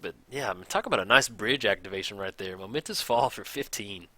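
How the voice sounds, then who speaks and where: A man casually commenting on a card game match, thinking aloud, his voice a little raspy from hours of recording. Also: on the low side, brisk, no echo. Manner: chatty, thinks aloud, counts out loud. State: slightly raspy from long recording. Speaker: gameplay commentator.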